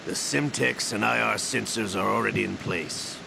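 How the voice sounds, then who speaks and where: Game villain, older intelligence officer: very gravelly, formal, evasive, clear background rumble. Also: male voice, moderate pace. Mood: measured, businesslike. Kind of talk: video game cutscene acting. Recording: noisy vehicle-like ambience behind.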